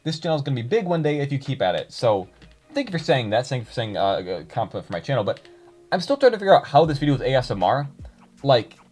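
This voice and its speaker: A man's voice: mid-range voice, big pitch swings, fast talker, darkish tone. A young man chatting casually to his viewers, rambling with many fillers and restarts. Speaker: YouTuber reading comments.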